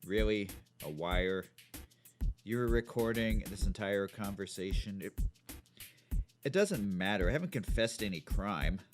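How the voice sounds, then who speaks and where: A cold, self-interested business boss played by a man, with a lowish voice that swings expressively over prominent music. He sounds curt and dismissive in radio-drama style. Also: animated, unhurried. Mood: irritated. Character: callous, self-interested, irritable male business magnate. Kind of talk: audio drama acting.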